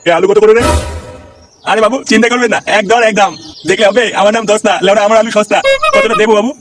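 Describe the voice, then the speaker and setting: A man playing a brash cartoon potato seller talks very fast and high. He is cocky, stubborn and crude, and sounds off the mic. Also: fairly even intonation, recorded from a distance, over loud music, lightly acted. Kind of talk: comedy cartoon dubbing.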